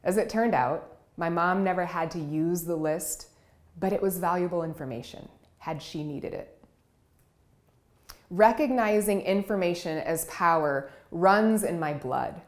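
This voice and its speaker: A woman's voice: lowish voice, a little slow. A woman who grew up in Cameroon and Iowa, giving a personal storytelling speech as a keynote speaker. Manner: warm storytelling, vivid and expressive.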